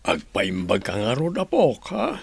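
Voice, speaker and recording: A man's voice: fairly low pitch, huge pitch swings, unhurried, vocal fry, slightly rough, dry recording, heavily acted. A man playing a caring but exasperated grandfather in an Ilocano comedy radio drama, with an Ilocano accent. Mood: concerned. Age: older.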